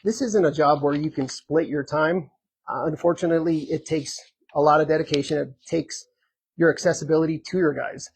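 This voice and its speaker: A man running for sheriff, answering audience questions at a community meet and greet in an earnest, conversational way, hoarse and full of filler ums. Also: a little high, fairly even intonation, brisk, slight background noise. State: hoarse, losing his voice. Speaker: sheriff candidate.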